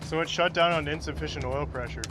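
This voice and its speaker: Fast-talking male mechanic under loud music. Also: fairly high pitch. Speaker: locomotive mechanic. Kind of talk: casual on-the-job talk. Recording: loud music, engine noise behind.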